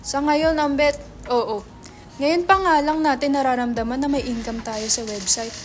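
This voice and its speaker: A woman's voice: sits high, normal speed, background noise, lightly acted. A woman with a Filipino accent acting a brash, sarcastic, money-minded young entrepreneur in a dramatic reading, mixing English into Tagalog. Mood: insistent. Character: brash, money-minded male website entrepreneur, teasing his friend. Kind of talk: dramatic reading of a stage script.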